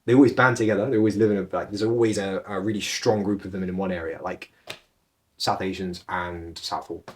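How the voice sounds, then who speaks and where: A man's voice: deep voice, fairly even intonation, a little fast, a little mellow, a little hiss or hum. A young man from England, chatting casually as a podcast co-host and rambling with restarts as he searches for words. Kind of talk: casual chat.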